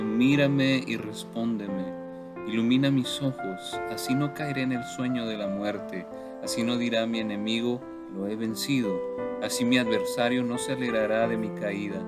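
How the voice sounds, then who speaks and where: A man reading a psalm aloud in a slow, solemn way. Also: mid-range voice. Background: guitar music.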